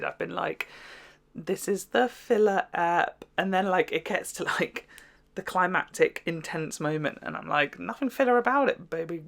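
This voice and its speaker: A young woman with a slightly low voice talks through a spoken review, loose and rambling. She strings thoughts together with plenty of "like" and "um", easy and conversational. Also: vocal fry, a bit bright. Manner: chatty, rambling, lots of "like" and "um". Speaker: reaction video host.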